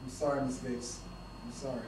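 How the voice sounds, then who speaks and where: A man's voice: medium pitch, flat delivery, fairly quick, darkish tone, close to an everyday voice. An actor reading the part of a policeman reassuring a grieving mother, at a table read. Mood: solemn. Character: steady police partner offering comfort. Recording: echoey room from far off with noise.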